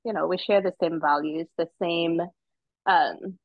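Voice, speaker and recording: A middle-aged woman from Zambia tells her story very slowly and earnestly, pausing on ums. Her voice sits fairly low and sounds dull and lo-fi, dry, recorded on a phone outdoors. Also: steady tone, no echo. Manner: unhurried, earnest, with many ums. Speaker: guest. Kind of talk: personal storytelling. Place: outdoors on a footbridge.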